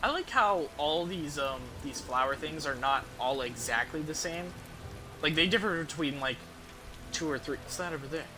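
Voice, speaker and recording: A man's voice: fairly high pitch, normal speed. Casual man streaming a game, noisy background. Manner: hesitant, rambling, casually profane. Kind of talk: casual gameplay commentary.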